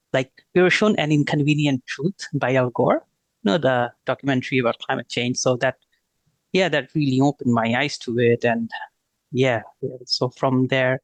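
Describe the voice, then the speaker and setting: A man's voice: medium pitch, dry recording, rough recording. Hesitant man from Bangladesh, dry sound. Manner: hesitant, many fillers and restarts. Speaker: guest, renewable energy consultant. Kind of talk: casual interview talk.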